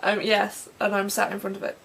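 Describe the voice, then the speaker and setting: A young Englishwoman with a croaky throat, rambling casually through vlog questions from her bedroom with plenty of ums and restarts. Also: medium pitch, monotone, brisk, a bit bright, slight background noise. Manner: chatty, self-deprecating, many ums and restarts. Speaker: pagan vlogger. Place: bedroom in front of her altar.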